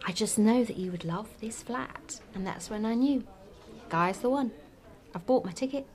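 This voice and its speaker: Woman acting out a bookish woman, forties, bright and fairly high voice, breezy and romantic. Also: moderate pace, lightly acted. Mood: earnest. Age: 40s. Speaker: friend at lunch. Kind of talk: scripted radio drama dialogue.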